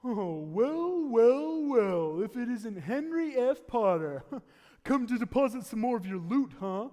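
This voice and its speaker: A man's voice: very high-pitched, sing-song, slow pace, a little mellow, pitched above the performer's own voice, full character voice. A man voicing a jolly, scatterbrained older small-town man in an old-style radio play, exclaiming, fumbling and repeating himself. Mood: gloating, jolly. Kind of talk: 1940s radio play acting. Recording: live stage taping in echoey hall.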